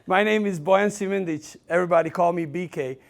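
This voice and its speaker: A man's voice: high voice, fast, vocal fry. A man in his forties from Serbia with a Serbian accent, telling his life story as a motivational speaker, with self-deprecating humor. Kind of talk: motivational keynote speech.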